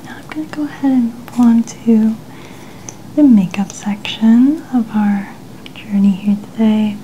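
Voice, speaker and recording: A woman gently whispering in a breathy voice, reassuring as she narrates an ASMR personal-care role-play. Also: fairly high pitch, unhurried, muffled recording, a little hiss or hum. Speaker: ASMR makeup artist.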